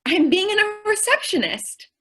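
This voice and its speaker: A woman's voice: extremely high pitch, sing-song, unhurried, pushed and bright, exaggerated voice. A woman acting a sweet, dim-witted, earnest young secretary in an old-time radio comedy. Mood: earnest, naive. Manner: naive, literal-minded, earnest.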